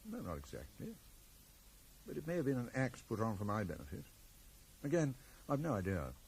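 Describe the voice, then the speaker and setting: An actor playing a worried, protective middle-aged father in a 1980s radio drama, speaking formally and with deliberate hesitation. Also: mid-range voice, huge pitch swings, gravelly, darkish tone, husky, exaggerated voice. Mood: guarded. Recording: slightly noisy old radio broadcast recording.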